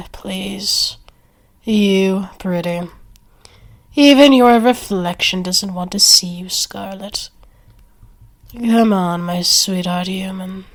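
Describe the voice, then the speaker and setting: A woman's voice: medium pitch, drawn-out words. A woman acting a proud, lovestruck werewolf in an ASMR roleplay, sardonic toward a rival and coaxing toward a beloved. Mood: mocking.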